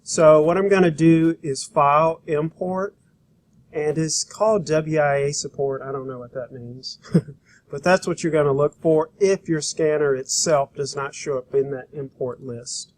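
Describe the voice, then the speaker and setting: A man giving an informal, hands-on software demonstration as an instructor, talking in a casual, rambling, folksy way. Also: on the high side, measured pace. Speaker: graphic design instructor. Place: school computer lab.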